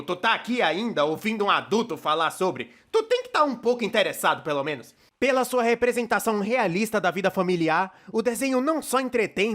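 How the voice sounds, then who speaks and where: A wry young male storyteller, dubbed by a man gives casual commentary for an animated video. He has a Brazilian accent and a high voice that moves up and down in a lively way. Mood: casual, sincere.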